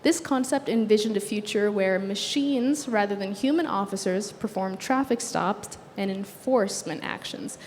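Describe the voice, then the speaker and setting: A young woman designer, originally from Sudan with Arabic as her first language, giving an earnest, measured talk on a conference stage. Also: medium pitch, a little mellow. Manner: earnest, measured delivery with occasional fillers. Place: conference stage in a large hall. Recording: long hall reverb, faint background noise.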